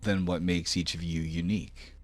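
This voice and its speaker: A man working as a game master, narrating a tabletop role-playing game in measured, descriptive storytelling and putting questions to his players. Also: sits low, fairly even intonation, darkish tone.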